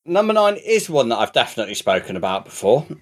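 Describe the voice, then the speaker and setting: A man chatting casually on a podcast, rambling with many restarts and swearing now and then as he gets excited. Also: medium pitch, fast talker. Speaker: podcast co-host. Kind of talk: casual podcast chat about games.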